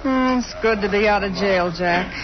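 A woman's voice: medium pitch, moderate pace, lightly acted. An actress playing a hard, testy woman ex-convict in a 1940s radio crime drama, on an old recording. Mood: guarded, curt. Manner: terse, tough. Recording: muffled old-time radio recording, crowd sounds.

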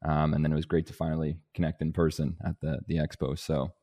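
A man in his twenties, originally from Denmark, talking as an interview guest in a relaxed, rambling way with restarts and "you know"s. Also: rumbling low, fast talker. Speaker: guest, agribusiness vice president. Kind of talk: casual interview conversation.

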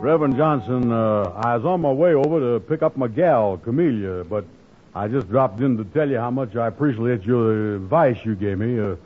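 A man playing a gullible, soft-hearted, grumbling fellow in a 1940s radio comedy, with hesitant, folksy, ungrammatical speech. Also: mid-range voice, moderate pace, soft background music, lightly acted. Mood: pleased. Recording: muffled, noisy old radio broadcast.

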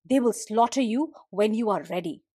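A woman's voice: on the high side, normal speed, dark tone, breathy edge, no echo, clean studio sound. A woman voicing a bold, restless sheep in a children's folktale, earnest and urging. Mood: warning, urging.

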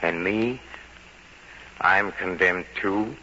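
An actor playing a jealous, vengeful murderer who confesses in a halting, repetitive, theatrical monologue in the style of 1940s radio horror. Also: male voice, low voice, full character voice. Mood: bitter, menacing. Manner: halting, repetitive, theatrical, swinging from whisper-soft to cries. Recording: muffled old radio recording with music.

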